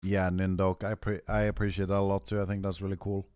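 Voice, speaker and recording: A young man streaming a game, chatting casually with his viewers, hoarse and clearing his throat as he rambles. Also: deep voice, level tone, fast talker, a little mellow, no room sound, clean studio sound. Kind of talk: casual stream chat, answering chat.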